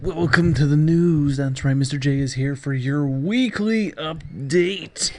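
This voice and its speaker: A man with a fairly high voice hosts a pop culture news podcast. He is emphatic, sarcastic and freely profane, talking casually with music behind him. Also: measured pace. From Canada. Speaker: podcast host.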